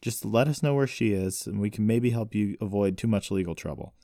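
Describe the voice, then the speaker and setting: Man acting a low-voiced, reasoning young man. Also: a little fast, dark tone, no room sound, clean studio sound, close to an everyday voice. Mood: placating. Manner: placating, firm. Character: calm, reasoning young man mediating a confrontation.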